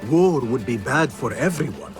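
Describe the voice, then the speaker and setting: A man's voice: medium pitch, lively intonation, moderate pace, lightly acted. An actor playing a determined, inquisitive young male assassin. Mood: resolute. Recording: game audio with music.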